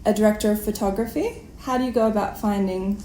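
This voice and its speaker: A woman's voice: medium pitch, fast, mellow, echoey room, slight background noise, low-quality recording. A woman hosting a conversational podcast interview, responding and asking questions. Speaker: podcast host interviewing filmmakers.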